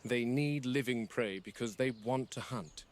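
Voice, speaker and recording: A man acting as a measured, serious male game warden records a formal log for a found-footage horror film. His voice is medium in pitch, and he explains procedures for dangerous animals with deliberate, objective care. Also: close to an everyday voice. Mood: measured, grave.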